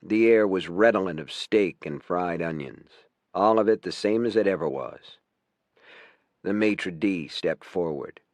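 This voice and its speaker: A man reading a nonfiction audiobook in a measured, clearly phrased scripted narration. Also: low voice, a little mellow, dry recording.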